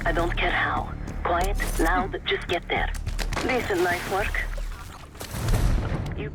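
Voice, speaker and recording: An actor playing a calculating, commanding covert handler who gives terse, controlled orders in a dramatic video game. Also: male voice, on the high side, close to an everyday voice, poor audio.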